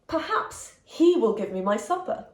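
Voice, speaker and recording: A woman voicing the thoughts of a hungry female cat in a storybook, soft and lower than her usual voice. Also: big pitch swings, moderate pace, roomy sound. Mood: hopeful.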